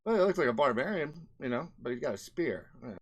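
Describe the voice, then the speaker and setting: Male indie game developer, medium pitch. Casual, conversational vlog explanation with rambling phrasing and frequent tag questions. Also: big pitch swings, fast. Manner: conversational, often tags sentences with "right?" and "you know".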